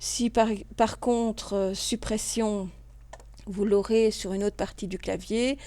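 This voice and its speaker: A woman, a computer class instructor calmly talking through a screen tutorial step by step. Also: medium pitch, slow, no room sound. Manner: patient, step-by-step, with small restarts and fillers. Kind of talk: screen-recorded tutorial narration.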